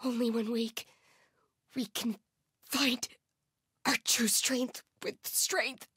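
An actress playing a zealous, hostile cult warrior in a video game, delivering terse, intense lines in short phrases. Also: female voice, fairly high pitch, fairly even intonation, takes it slowly, a bit bright, husky, no room sound, clean studio sound, exaggerated voice. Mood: hushed, devout. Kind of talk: combat barks and effort lines for an action game.

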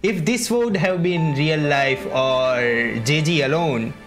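Slow-paced game streamer with wry asides. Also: medium pitch. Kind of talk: casual playthrough commentary. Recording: game audio and music behind the voice.

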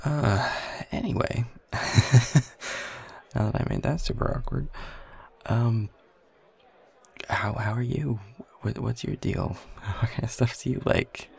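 A man's voice: mid-range voice, takes it slowly, gravelly, long pauses, a little mellow, slightly rough, full character voice. A young man playing a shy, self-deprecating new coworker in an intimate audio roleplay, speaking hesitantly and getting flustered. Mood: awkward, hopeful. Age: young adult. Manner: hesitant, flustered, stammering, nervous chuckles. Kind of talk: intimate audio roleplay, one side of a conversation.

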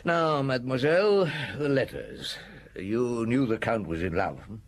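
An actor playing a shrewd, probing middle-aged police chief inspector in a radio drama. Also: male voice, medium pitch, lively intonation, measured pace. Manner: probing, full of hms and short questions. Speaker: actor playing the lead detective, also narrating. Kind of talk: radio drama acting and first-person narration. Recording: noisy old radio broadcast.

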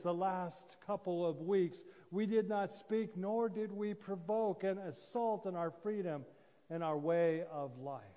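A man in his sixties preaching a sermon as a pastor, solemn and deliberate, with pauses and repetition. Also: high voice, dark tone. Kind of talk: sermon, measured oratory. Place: church sanctuary, indoors at a lectern. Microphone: gooseneck lectern microphone. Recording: reverberant church hall sound.

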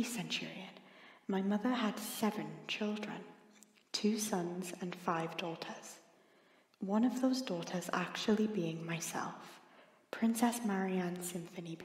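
A young woman playing an earnest princess who confides a secret, reading a letter aloud in a measured, sometimes breathy way for an audio story. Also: fairly low pitch, a little mellow, natural voice. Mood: confiding. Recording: echoey, long reverb, hobby audio drama.

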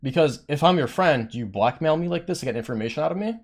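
A man's voice: a little high, quick pace. A man streamer ranting to his chat in casual talk, swearing often and acting out what others said to him. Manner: heated, petty, swearing, quotes others in raised voice; says he has a monotone voice.

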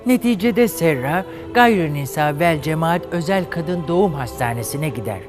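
A man's voice: on the high side, animated, normal speed. A man hosting a comedy show, telling a fairy tale in a flamboyant, coy campy way. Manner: flamboyant, coy, addresses audience as "lambs". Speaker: host and storyteller. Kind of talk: campy comic storytelling. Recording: studio audience and music behind.

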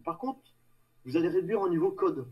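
A man giving a live technical presentation in a halting, hesitant way, trailing off between phrases. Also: a little high, lively intonation, slightly rough, dull audio. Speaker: tech meetup presenter. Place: online video meeting with screen share. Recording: distant mic on online call.

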